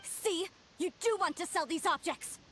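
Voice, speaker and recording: A woman's voice: extremely high pitch, normal speed, fairly bright tone, slight background noise. An actress playing an earnest, bossy young woman researcher in a video game, explaining with emphasis. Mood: exasperated. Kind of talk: video game character dialogue.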